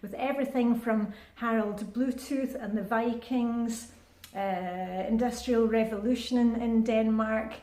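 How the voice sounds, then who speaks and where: A woman's voice: fairly high pitch, steady tone, unhurried, mellow, roomy sound. A woman in her fifties chatting casually to her vlog viewers, upbeat and full of fillers and restarts. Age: 50s. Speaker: vlogger. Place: indoors at home, with a short outdoor clip in the rain.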